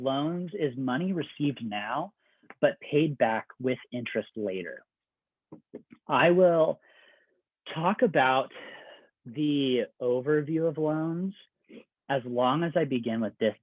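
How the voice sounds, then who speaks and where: A man working as an admissions counselor, giving a conversational, step-by-step presentation with everyday examples. His voice is getting a little raspy. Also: mid-range voice, expressive, takes it slowly, no echo. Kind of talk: informational presentation. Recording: dry, phone-like video call audio.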